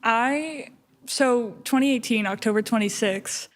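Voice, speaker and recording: A woman guest on a podcast, a voice actor, chatting casually and quickly. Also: on the high side.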